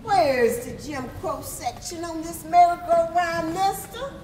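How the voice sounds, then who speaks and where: A woman's voice: extremely high pitch, measured pace, darkish tone. A woman on stage playing a young Southern child, reciting in a plaintive, questioning way. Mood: plaintive, innocent. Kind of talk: theatrical poetry recitation on stage. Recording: roomy hall with long reverb.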